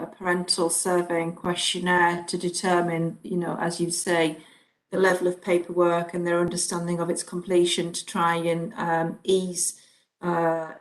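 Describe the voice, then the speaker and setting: Woman, health officer, low flat voice, measured reply. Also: poor audio. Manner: halting, measured. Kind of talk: council meeting reply.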